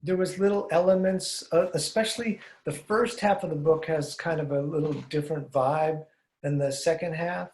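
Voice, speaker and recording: An older man hosting a podcast, asking curious, rambling questions that often trail off, speaking into a studio mic on a boom arm while wearing headphones. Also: normal speed, darkish tone. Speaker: podcast host. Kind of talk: casual conversational interview. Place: room at home with blinds, indoors. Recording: roomy echoey sound.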